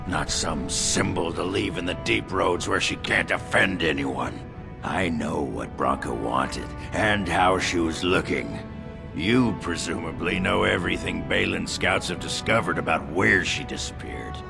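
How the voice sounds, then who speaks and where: A man voicing a gruff, bitter, sardonic dwarf husband in a video game, grumbling his way through the words. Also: low-pitched, unhurried, over music, exaggerated voice. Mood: bitter, insistent.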